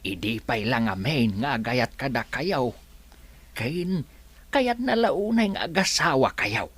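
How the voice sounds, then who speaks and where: A man's voice: mid-range voice, sing-song, takes it slowly, a bit bright, slightly rough, exaggerated voice. A man playing a nervous servant in a radio drama who stammers anxiously. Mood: urgent. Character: nervous servant Mang Igme.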